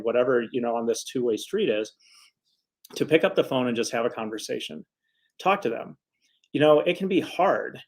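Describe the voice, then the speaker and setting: A man with a medium-pitched voice talks casually to his followers as an attorney. He is earnest and conversational, working through his advice in loose, rambling sentences, as if chatting from his office at the end of a working day. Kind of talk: casual advice vlog to camera.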